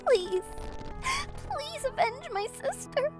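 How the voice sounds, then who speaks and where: High-voiced, emotional young manga heroine. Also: female voice, big pitch swings, takes it slowly, heavily acted. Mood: pleading. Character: young woman, orphaned grieving sister seeking revenge, fragile. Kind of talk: anime-style dub acting. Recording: loud music bed underneath. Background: music.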